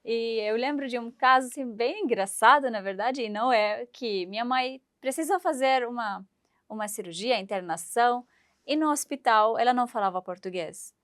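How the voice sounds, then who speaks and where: A woman in her thirties from Syria, speaking in a fairly high voice. She tells her story with animation, acting out the voices of the people in it. The sound is dry and close. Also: moderate pace, no echo. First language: Arabic. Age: 30s. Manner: animated, acts out quoted voices, laughs while telling. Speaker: guest. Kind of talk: storytelling interview.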